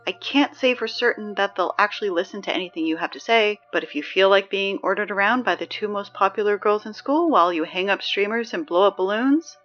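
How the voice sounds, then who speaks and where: A woman's voice: mid-range voice, brisk, few pauses, fairly bright tone, muffled recording, soft background music, natural voice. A woman playing a dry, sarcastic teenage girl who reads the school announcements in an audio drama. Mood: wry.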